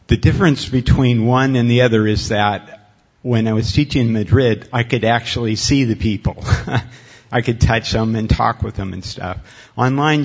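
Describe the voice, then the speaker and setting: A man's voice: on the low side, darkish tone. A middle-aged or older man, a teacher, explaining conversationally and unscripted on a panel. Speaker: online apologetics teacher on a panel. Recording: muffled recording in reverberant hall.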